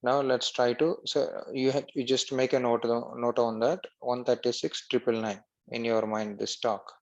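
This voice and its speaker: A man narrating a screen-share software tutorial step by step as an instructor. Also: mid-range voice, flat delivery, moderate pace, lo-fi sound.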